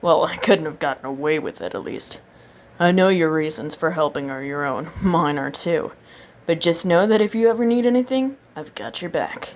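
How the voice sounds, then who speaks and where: A woman's voice: deep voice, animated, moderate pace, pitched below the performer's own voice. A woman voicing a grateful male villain's thank-you note in an audiobook. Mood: grateful, sincere. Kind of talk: acted audiobook dialogue.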